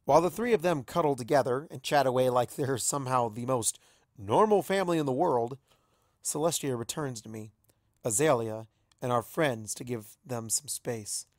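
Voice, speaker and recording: A man narrates a fantasy story in a dramatic, scripted reading style. His pitch is medium, and the recording is close and dry. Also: normal speed, dry recording. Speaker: amateur fan fiction reader.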